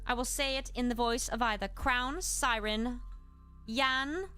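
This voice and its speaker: A woman's voice: a little high, fairly even intonation, measured pace, sharp tone, smooth, faint music behind. A woman streamer speaking in a British accent, teaching a livestreamed lesson in a polite, explanatory, gracious manner. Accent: British, put on. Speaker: live streamer and host giving a lesson. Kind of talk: livestreamed educational lesson with chat replies.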